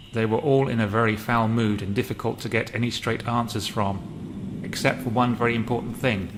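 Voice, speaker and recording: A man narrating a game role play and reading journal entries aloud. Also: medium pitch. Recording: loud background noise.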